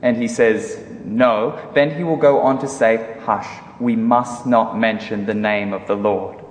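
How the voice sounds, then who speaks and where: Slow, solemn man in an echoing hall. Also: on the low side, fairly even intonation, a little hiss or hum. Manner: solemn, declamatory, deliberate phrasing. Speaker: Bible reader in a dramatic reading. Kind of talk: dramatic scripture reading. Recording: echoey hall with long reverb.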